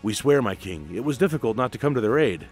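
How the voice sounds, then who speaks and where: A man's voice: medium pitch, very animated, fast, a little mellow, close to an everyday voice. A grave male spy from a comic-book tale, voiced by a narrator. He is loyal and earnest, keeping himself restrained. Faint music sits behind him.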